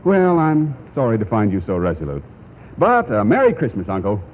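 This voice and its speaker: An actor playing a cheerful, warm-hearted younger nephew in a 1940s radio drama, hearty, earnest and coaxing. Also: male voice, lowish voice, huge pitch swings, moderate pace, narrow, tinny audio. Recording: thin, noisy 1940s radio broadcast.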